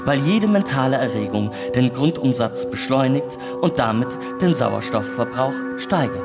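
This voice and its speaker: A man's voice: medium pitch, expressive, normal speed, lightly acted. A man playing an emotionless space station computer in a science fiction radio play, announcing in precise, bureaucratic language. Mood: formal, impassive alarm. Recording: loud music and effects behind. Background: music and sound effects.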